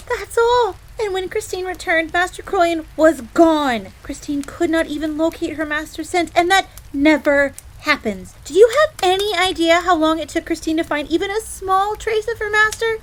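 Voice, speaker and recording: A high-voiced fox maid in an audio roleplay. She is a proud, jealous tsundere servant, quick to get indignant and flustered, yet lowly in her own eyes. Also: slight background noise, poor audio. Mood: huffy recounting. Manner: indignant, stammering when flustered, refers to herself in third person.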